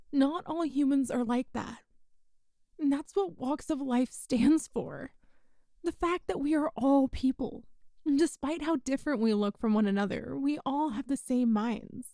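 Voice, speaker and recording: A woman playing a kind, earnest human rescuer in an ASMR roleplay, speaking in a gentle, reassuring, folksy way to the listener. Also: high voice, dry recording, clean studio sound. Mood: impassioned.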